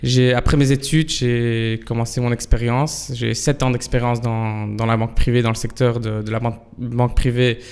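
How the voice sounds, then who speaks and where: A man in his thirties with a Belgian accent, answering interview questions in a halting, conversational way. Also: mid-range voice, little pitch movement, darkish tone, clean tone. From Antwerp, Belgium. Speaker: fintech professional, interview guest. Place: broadcast studio.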